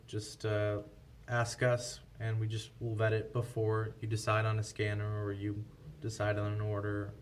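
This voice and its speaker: A man giving a casual, unscripted product review as a shop representative, in a matter-of-fact, conversational way. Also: lowish voice. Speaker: scanner shop representative presenting a product comparison. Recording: distant mic with faint background noise.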